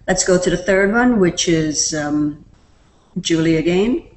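Low-voiced woman walking through software. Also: a little slow, a little hiss or hum, rough recording. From Canada. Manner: relaxed, step-by-step explaining with fillers. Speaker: online tutorial presenter. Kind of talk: screen-recorded software tutorial.

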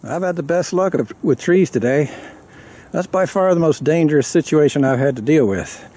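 A man talking casually to camera outdoors in a woodland clearing, rambling and correcting himself as he explains. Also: a little high, moderate pace, dark tone. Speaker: vlogger.

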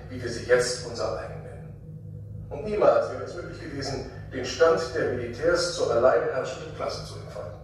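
Man playing cynical military commander, oratorical, deliberate, radio drama, very echoey, far from mic. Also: medium pitch, lightly acted. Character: cynical military leader addressing his high command. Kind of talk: radio drama acting, formal speech. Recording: echoey room, distant, noisy.

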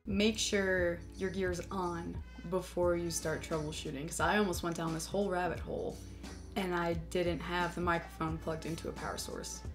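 A woman's voice: fairly low pitch, fairly even intonation, normal speed, over music. A young woman vlogging solo on a mountain trail, chatty and explanatory as she walks through her gear and the route. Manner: chatty and explanatory, talking while hiking. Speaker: hiking vlogger.

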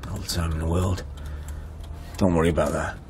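A man in a radio drama playing a wounded, halting, self-deprecating husband in his thirties. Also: low-pitched, little pitch movement, a little mellow, a little hiss or hum, close to an everyday voice. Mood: awkwardly friendly. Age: 30s. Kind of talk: naturalistic radio drama acting.

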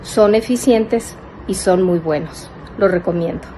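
A woman's voice: on the low side, measured pace, warm tone, a little hiss or hum, low-quality recording. An older woman, a television presenter, giving a scripted endorsement in an upbeat, reassuring tone. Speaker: television presenter in a promotional clip. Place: indoor room, webcam-style video.

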